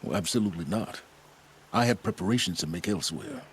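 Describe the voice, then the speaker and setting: An actor playing an aloof, cunning researcher in a video game cutscene, speaking in a measured, erudite and condescending way. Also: male voice, sits low, slightly rough. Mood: coolly explaining. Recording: music behind the dialogue.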